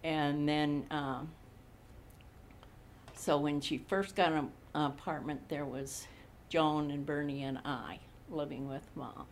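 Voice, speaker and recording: A woman in her eighties from Montana, recalling her childhood slowly in an oral history interview. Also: low-pitched, steady tone, slight background noise. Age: 80s. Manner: slow, unhurried recollection with frequent pauses. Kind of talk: reminiscing in a recorded interview.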